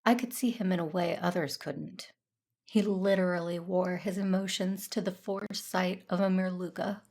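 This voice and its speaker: A troubled, dutiful Jedi woman voiced by an actress narrating a science fiction audio drama. Her voice sits fairly low, and she speaks earnestly, turning inward with a conflicted, reflective delivery. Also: steady tone, normal speed, a little mellow.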